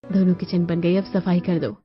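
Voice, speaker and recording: A woman's voice: on the low side, steady tone, moderate pace, natural voice. A woman voicing a bossy, caring middle-aged mother of grown sons in a cartoon story, firm and instructing. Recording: dull lo-fi audio with music behind.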